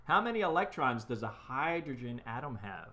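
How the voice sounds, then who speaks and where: A man's voice: medium pitch, very animated, moderate pace, pushed and bright. A middle-aged man co-hosting a casual live stream, telling corny jokes and reading trivia questions aloud, recorded on a desk webcam indoors. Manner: corny joke delivery, reads trivia aloud. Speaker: co-host. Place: small shop room with framed wall display, indoors.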